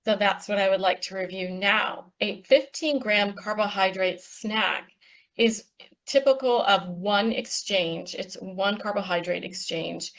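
A woman on a panel, explaining nutrition slides in a steady, instructive way with frequent ums. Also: medium pitch, measured pace, dull audio. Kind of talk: presenting slides in a panel talk.

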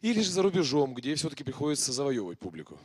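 A man hosting a concert asks a singer questions on stage, quick and lively, into a handheld mic. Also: mid-range voice, breathy edge, no echo. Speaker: host. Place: large concert hall stage.

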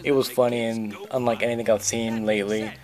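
Low-pitched man hosting a casual countdown. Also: flat delivery, fast talker, darkish tone. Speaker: host of a video game countdown. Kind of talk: casual video countdown commentary.

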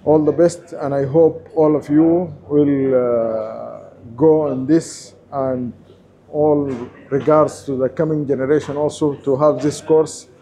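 Guy in a big surgical training hall, lapel mic, super slow, very dark voice, lots of uhs. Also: fairly high pitch, fairly even intonation. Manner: halting, many pauses and fillers. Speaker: surgeon trainee. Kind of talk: testimonial to camera.

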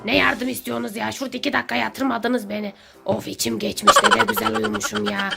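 A woman's voice: a little high, quick pace, vocal fry, pushed and bright, soft background music, pitched below the performer's own voice, heavily acted. A woman voicing a grumpy, disbelieving neighbour who complains in an exasperated way in a children's pretend-play skit. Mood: sleepy, grumbling.

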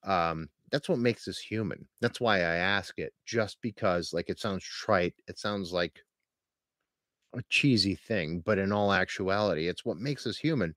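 Male podcast host, deep and dry-miked, earnest and rambling. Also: unhurried, a bit bright, no room sound. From Pennsylvania. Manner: rambling, earnest, many restarts. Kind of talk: casual interview.

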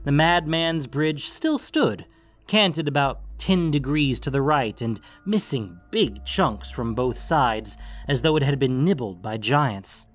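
Mid-pitched male fantasy story narrator. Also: expressive, slow. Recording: music under the voice.

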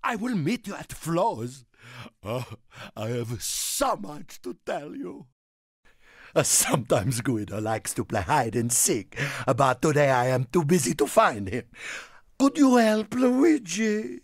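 An actor playing an excitable, friendly little Italian car in a video game, with an Italian accent and a theatrical, effusive manner. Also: male voice, a little high, slow pace, no echo, studio quality, heavily acted. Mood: cheerful, encouraging. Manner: theatrical, effusive, speaks of himself in third person. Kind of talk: video game character voice lines.

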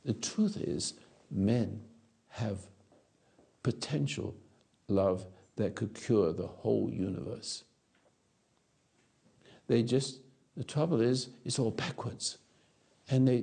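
A man in his eighties giving a rambling philosophical talk to an audience on a lapel mic, halting and repeating his words. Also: mid-range voice, vocal fry, warm tone, slightly rough. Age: 80s. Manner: gestures with hands while talking. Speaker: speaker answering audience. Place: dark stage or studio.

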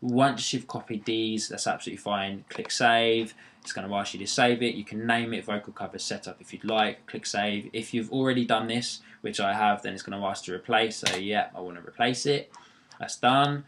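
A young man with a deep voice, talking through a screen-recorded tutorial in a rambling, friendly way, with lots of fillers and restarts, recorded on a laptop's built-in microphone in his bedroom. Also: steady tone, normal speed, a bit bright, slight background noise. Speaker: tutorial maker. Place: bedroom near a busy road.

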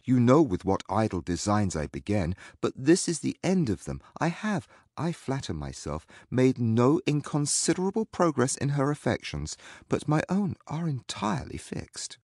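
A woman reading an audiobook, voicing a confident, lovestruck young gentleman in earnest, formal period speech. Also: extremely low pitch, very animated, normal speed, hardly any pauses, husky, no echo, studio quality, voice pushed down, heavily acted. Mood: assured, earnest. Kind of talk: audiobook character dialogue.

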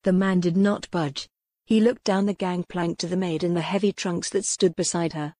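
A woman's voice: lowish voice, steady tone, moderate pace, dark tone, dry recording, clean studio sound. A woman reading scripted narration as an audiobook narrator of historical fiction.

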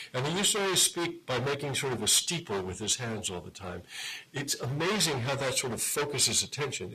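A middle-aged man lectures to a university audience, unhurried and deliberate, often pausing on "um" before going on. His voice is husky, with a bright, forceful edge. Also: medium pitch, low-quality recording. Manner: measured, many "um" pauses. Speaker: guest speaker, journalist.

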